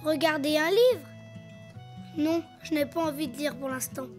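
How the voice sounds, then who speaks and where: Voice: high-pitched, moderate pace, soft background music, natural voice. A performer voicing a cheerful, imaginative little boy donkey in a children's cartoon, chatty and childlike. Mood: pensive, bored. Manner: childlike, talking to himself, expressive.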